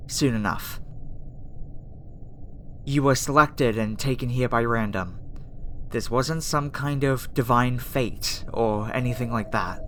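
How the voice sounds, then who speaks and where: A woman's voice: a bass voice, slight background noise. A woman playing an earnest, easily flustered alien girl researcher in an ASMR roleplay, speaking hesitantly and reassuringly.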